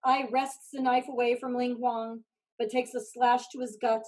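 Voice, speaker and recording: A woman's voice: a little high, little pitch movement, normal speed. A woman reading stage directions as scripted narration for a staged play reading.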